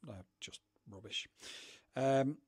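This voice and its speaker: A man's voice: medium pitch, slow pace, breathy edge. A man from the UK, hosting a rambling, chatty livestream from his home studio and talking to viewers in chat. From United Kingdom. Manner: rambling, chatty, self-deprecating. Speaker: livestream host.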